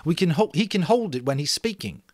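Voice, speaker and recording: A man's voice: fairly high pitch, sing-song, fairly quick, no room sound, clean studio sound, voice pushed up. A man reading a novel aloud, playing an earnest boy who leads a meeting with emphatic, declarative speech. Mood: earnest, assertive. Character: earnest fair-haired boy chairing a meeting as leader. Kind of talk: amateur dramatic reading of a novel.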